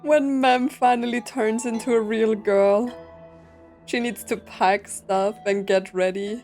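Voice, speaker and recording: A woman's voice: on the high side, fairly even intonation, slow, a little mellow, faint music behind. A woman streamer giving casual playthrough commentary, reading game text aloud and musing in short reactions.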